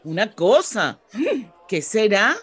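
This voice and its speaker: A woman's voice: medium pitch, big pitch swings, slow, slightly rough, a faint crowd, exaggerated voice. A woman playing a polite, kindly and obliging schoolteacher in a radio drama.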